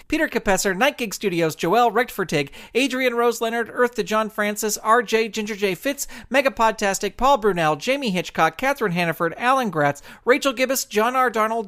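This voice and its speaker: A man's voice: sits high, a bit bright. A man working as a show announcer, reading scripted credits and promos in an upbeat way aimed at young listeners.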